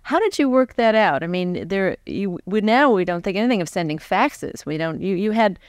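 Clear-voiced American woman interviewer. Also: medium pitch, very animated, moderate pace, dry recording, clean studio sound. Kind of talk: conversational interviewing. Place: seated on a stage before a live audience. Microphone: large microphone on stand close to mouth.

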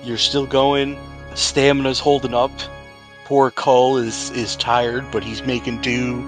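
A man's voice: medium pitch, level tone, slow pace, music in the background. A man narrating as game master for a tabletop game, joking and laughing often with his players.

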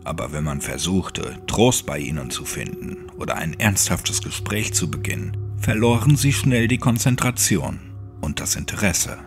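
A man with a low voice narrates a horror story in pronunciation. He reads it as a scripted audiobook, with faint music underneath. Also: animated, normal speed, gravelly.

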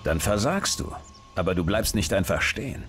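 A sci-fi game commander with a very low voice. He is gruff and laconic, with a dry, steady way of talking that suits a supportive hero. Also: normal speed, faint music behind. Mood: reassuring. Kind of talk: video game dialogue acting.

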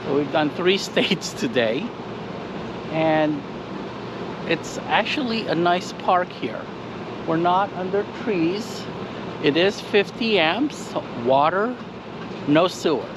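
Chatty man vlogging outdoors, slow and steady. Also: fairly high pitch, noisy background. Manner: chatty, walking viewers through the campsite. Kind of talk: casual travel vlog. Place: outdoors at a campground beside a trailer. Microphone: action camera on a short pole at arm's length.

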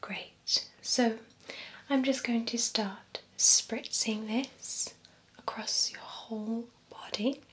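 A young woman playing a calm vampire salon attendant in a soft, breathy, gentle ASMR whisper. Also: a little high. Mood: calm, attentive. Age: young adult. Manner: slow, gentle, deliberate delivery. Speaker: ASMR performer. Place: small indoor room with bookshelves.

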